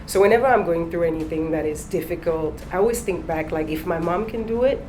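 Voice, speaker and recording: A woman's voice: fairly low pitch, fairly quick, a little mellow, a little hiss or hum, low-quality recording. A middle-aged woman, a trainer, chatting earnestly on a panel and telling her own story. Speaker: guest trainer. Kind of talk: casual panel chat.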